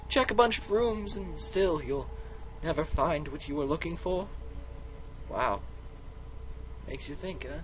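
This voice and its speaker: A man's voice: on the high side, background noise. A man doing a dramatic, comic read-aloud of a video game, theatrical, exaggerated and wisecracking. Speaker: game commentator and reader.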